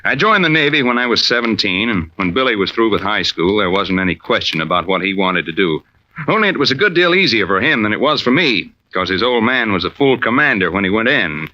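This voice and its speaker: A gruff, middle-aged Navy diver in a vintage radio drama. He is proud and wry, with a bright, forceful voice that swings widely in pitch. The sound is dull and band-limited, like an old broadcast recording. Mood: reflective narration. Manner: wry, clipped, gruffly bantering. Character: gruff, proud, veteran Navy deep-sea diver and father. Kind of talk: old-time radio drama acting with first-person narration.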